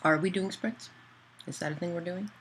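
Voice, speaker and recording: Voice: medium pitch, moderate pace, a bit bright, husky. Chatty author on a casual stream. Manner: chatty, answers chat messages aloud; loose, with many fillers and restarts. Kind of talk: casual livestream chat.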